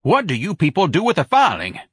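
A man's voice: on the high side, wildly swooping pitch, fairly quick, breathy edge, no echo, studio quality, pitched above the performer's own voice, heavily acted. Man playing an exasperated, blunt businessman husband. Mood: demanding. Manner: impatient, blunt. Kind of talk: audiobook character voice.

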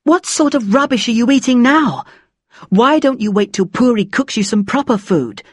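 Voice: mid-range voice, animated, normal speed, no echo, clean studio sound, pitched above the performer's own voice, natural voice. A narrator voicing an angry, possessive middle-aged mother in an audiobook story, scolding and complaining insistently. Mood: nagging, scolding.

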